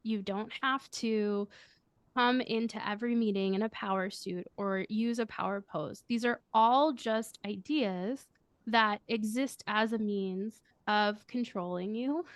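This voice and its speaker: A woman with a high, girlish voice answering interview questions, speaking earnestly and reflectively as a coach who leads a firm. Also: unhurried, no room sound. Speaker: guest, coach and firm leader.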